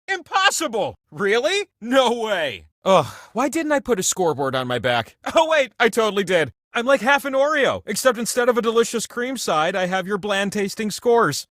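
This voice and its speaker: Giddy talking wheel game show host, super high bright voice, sassy and campy, dry studio sound. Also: male voice, no echo, exaggerated voice. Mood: amazed, excited, then playful, gushing. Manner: exuberant, campy, drawn-out dramatic phrases with chatty asides. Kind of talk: theatrical game show hosting.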